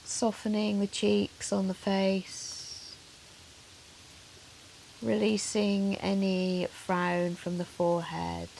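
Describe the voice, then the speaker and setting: A woman yoga teacher leading a guided meditation in a soothing, measured, gentle manner with long pauses. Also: medium pitch, flat delivery, vocal fry, rough recording. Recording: soft hiss of rain noise. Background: soft rain sound.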